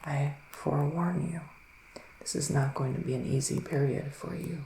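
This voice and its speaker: Casting: a man to play a solemn psychic witch for ASMR, unhurried and soft-spoken, breathy pauses, recorded in a roomy space with faint noise. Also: a little high, steady tone, lightly acted. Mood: solemn, foreboding. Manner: slow, deliberate, breathy pauses with sighs. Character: solemn psychic male witch giving a reading. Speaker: ASMR performer. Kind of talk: ASMR roleplay, soft-spoken and half-whispered.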